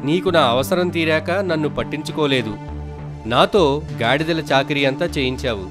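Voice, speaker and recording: A man's voice: fairly high pitch, normal speed, over music, close to an everyday voice. Man voicing a reproachful, worn-out warhorse. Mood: hurt, reproachful. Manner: aggrieved, reproachful. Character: weary, reproachful warhorse, neglected by its master.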